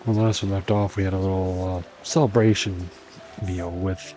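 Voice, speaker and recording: Low-voiced man commenting over a game. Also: steady tone, moderate pace. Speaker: gaming channel host. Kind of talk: casual gameplay commentary and reading dialogue aloud. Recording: game music behind the voice.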